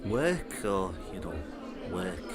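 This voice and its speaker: A man's voice: low-pitched, big pitch swings, slow, faint music behind, some chatter behind, heavily acted. A man acting an ordinary working bloke turned criminal recruit, speaking casually and a bit guardedly with a British accent. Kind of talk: naturalistic audio drama dialogue.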